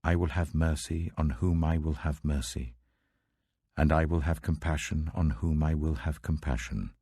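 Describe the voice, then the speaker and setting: British guy doing god in a bible audiobook, stern and majestic, rumbling low, husky, slow and commanding. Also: steady tone, warm tone, no room sound, studio quality. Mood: solemn, merciful.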